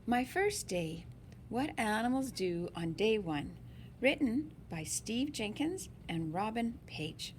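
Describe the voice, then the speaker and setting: A woman reading a picture book aloud in an expressive, deliberate way for young listeners. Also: a little high, a little hiss or hum. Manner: slow, deliberate, animated phrasing for young listeners. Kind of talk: expressive children's read-aloud.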